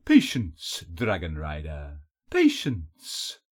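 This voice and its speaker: A man voicing a sly, taunting elf captor in an audiobook, with a honeyed, throaty voice and a mocking, drawn-out purr. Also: very deep voice, wildly swooping pitch, husky, pitched below the performer's own voice, full character voice. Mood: mocking, amused. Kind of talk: audiobook character voice.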